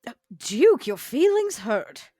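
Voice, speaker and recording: A woman playing an animated, proud vampire enforcer. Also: sits high, slow pace, no room sound, studio quality, voice pushed up, heavily acted. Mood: conspiratorial, coaxing. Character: Vera, proud, shrewd, long-lived female vampire enforcer. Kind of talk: improvised tabletop roleplay.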